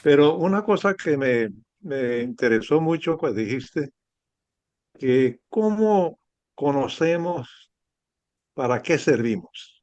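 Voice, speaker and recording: A man from the audience asking a question in a measured, thoughtful way. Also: fairly high pitch, animated, a little mellow, dry recording, muffled recording.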